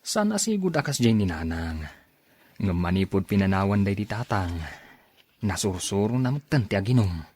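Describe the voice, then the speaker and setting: A man playing a dutiful, kind-hearted grown son in a radio drama, earnest and coaxing in a husky voice. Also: fairly low pitch, sing-song, normal speed, darkish tone, no echo. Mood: troubled. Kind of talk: Ilocano radio drama acting.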